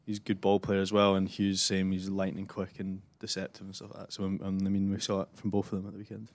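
A man co-hosting a sports podcast, relaxed and modest as he talks about a match. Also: low-pitched, fast. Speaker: co-host and player. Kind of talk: casual sports podcast chat.

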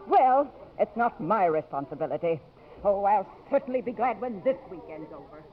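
Woman doing a flustered fretful college staffer, plaintive, swoopy pitch, tinny old radio. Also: expressive, normal speed, a little hiss or hum, close to an everyday voice. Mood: exasperated. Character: flustered, exasperated female campus staffer. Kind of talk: 1950s radio acting. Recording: tinny, muffled old 1950s radio broadcast.

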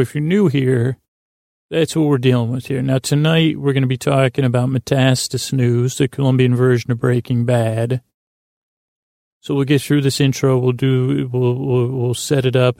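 Creaky, flat-toned man rambling softly. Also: dry recording, studio quality. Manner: rambling, halting, self-correcting; soothing, lulling, low-key. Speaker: sleep podcast host. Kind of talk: meandering bedtime monologue.

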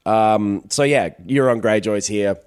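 A man's voice: on the low side, measured pace, darkish tone, smooth. A man co-hosting a casual chat that recaps a TV episode, swearing freely and jumping into mock voices of the characters. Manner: profane, jokey, slips into mock voices of characters. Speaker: podcast co-host.

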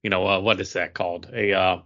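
A man with a bright, forward voice chats casually as a podcast co-host. The audio is slightly dull and lo-fi. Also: low-pitched, little pitch movement, fast. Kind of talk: casual group chat.

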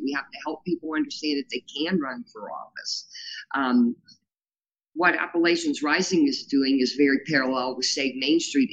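A woman's voice: sits low, lo-fi sound. Earnest woman with a powerful voice. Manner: earnest, animated, rambling with many fillers. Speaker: guest, grassroots organizer. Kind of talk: casual interview talk.

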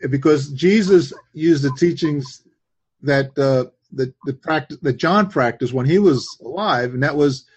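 A man with a New Jersey accent hosting a casual religious talk show, speaking in a hesitant, halting way. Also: a little high, breathy edge. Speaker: host. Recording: dull lo-fi sound.

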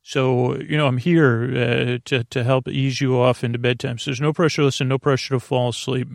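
Creaky-voiced man rambling at bedtime. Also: flat delivery, no echo, studio quality. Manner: meandering, halting, trails off and circles back; reassuring, low-pressure, addresses the listener directly. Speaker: host of a bedtime sleep podcast. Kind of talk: rambling bedtime monologue to help listeners fall asleep.

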